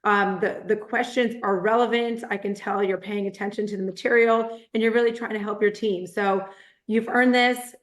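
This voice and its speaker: A woman who has a cold and is losing her voice, congratulating a team member warmly. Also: medium pitch, fairly even intonation, moderate pace. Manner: warm, congratulatory.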